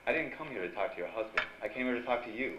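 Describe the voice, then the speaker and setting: An American actor playing a breezy, hardboiled newspaper reporter in an old-time radio noir drama. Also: male voice, medium pitch, animated, very fast, fairly bright tone, lightly acted. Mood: probing. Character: wisecracking, persistent male newspaper reporter, noir hero. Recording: dull lo-fi live stage recording, clear noise.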